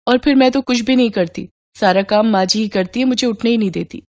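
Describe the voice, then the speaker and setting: A woman's voice: a little high, fairly quick, a little mellow, dry recording, clean studio sound, lightly acted. A woman voicing a loyal young married daughter, polite but firm, defending her in-laws in a moral story. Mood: reassuring.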